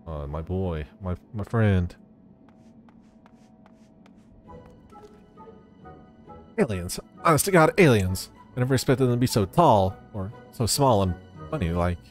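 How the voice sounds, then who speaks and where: A man voicing an excitable, pompous tabloid reporter with a bombastic, dramatic delivery for a video game. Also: lowish voice, normal speed, faint music behind. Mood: awestruck. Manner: bombastic, declamatory, stammering in alarm.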